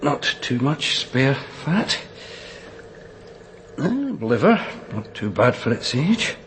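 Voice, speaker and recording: An actor playing a fussy, eccentric male pathologist in a radio crime drama, muttering haltingly and repeating phrases. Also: mid-range voice, big pitch swings, a bit bright. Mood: absorbed, muttering. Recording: clear background ambient noise.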